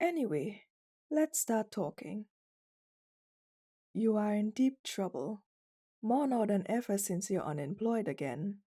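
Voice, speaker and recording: A woman acts out a commanding female chief executive for an ASMR roleplay. She speaks slowly and deliberately in a soft, mellow tone. Her manner is cold and condescending, with a dominant, flirtatious edge. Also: medium pitch, big pitch swings. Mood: stern, controlled.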